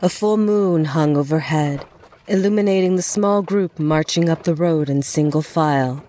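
A woman with a lowish voice narrates an audio drama. Her delivery is scripted storytelling, with faint noise in the background. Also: moderate pace, warm tone.

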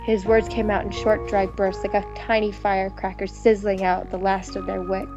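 A woman's voice: medium pitch, flat delivery, normal speed, highs cut off, music in the background. A woman playing and reading a visual novel aloud, mixing dramatic narration with casual commentary.

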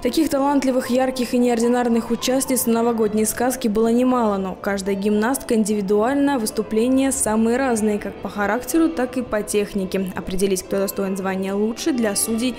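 Woman doing tv news voiceover, soft mellow tone, music underneath. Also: a little high, steady tone, brisk, hardly any pauses. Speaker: news reporter. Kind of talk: scripted TV voice-over narration.

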